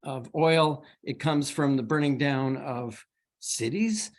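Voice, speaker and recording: A man's voice: medium pitch, slow pace. A man in the audience posing a long, reflective question, building it up with a list of examples. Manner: thoughtful, reflective, listing examples. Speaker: audience member asking a question.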